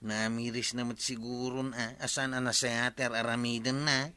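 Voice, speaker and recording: Man playing a very sharp-voiced Ilocano village buddy. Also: medium pitch, flat delivery, moderate pace, clean tone, close to an everyday voice. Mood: concerned, gossipy. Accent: Filipino, Ilocano. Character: teasing, meddling male village buddy. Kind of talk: broadcast comedy radio drama acting.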